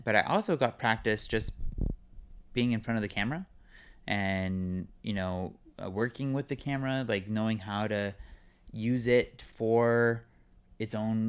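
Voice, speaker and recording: A young man from California chats in a relaxed, meandering way, full of "um" and "like". His voice is smooth and clear, sitting a little low. He is a guest actor on an interview podcast. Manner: relaxed, rambling with many fillers. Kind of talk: casual interview chat.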